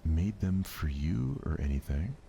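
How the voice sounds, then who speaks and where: A man's voice: sits low, animated, a little slow, gravelly, warm tone, highs cut off, slight background noise, exaggerated voice. A man voicing a prickly, flustered teenage schoolgirl with a defensive, stammering manner in a visual novel.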